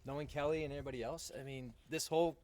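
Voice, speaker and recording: Very fast-talking man. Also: medium pitch, steady tone, darkish tone. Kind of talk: casual unscripted remarks.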